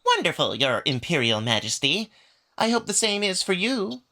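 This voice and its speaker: A man's voice: sits high, very animated, normal speed, pushed and bright, voice pushed up, exaggerated voice. A man voicing a reserved male cat who is polite, coy and a little sly. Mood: feigned innocence.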